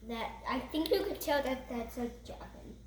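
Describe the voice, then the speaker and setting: A high female voice chatting casually in simple, halting, repetitive phrases, pointing things out. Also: lively intonation. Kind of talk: casual, unscripted pointing out of picture details. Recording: roomy echo with background noise.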